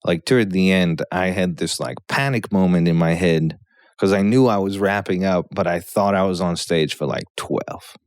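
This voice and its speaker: Polish-born man in his thirties, low and smooth, dry close sound, casual rambling storyteller who keeps asking "yeah?". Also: normal speed, darkish tone, dry recording. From Poland. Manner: rambling, frequent "yeah?" check-ins. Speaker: guest comedian.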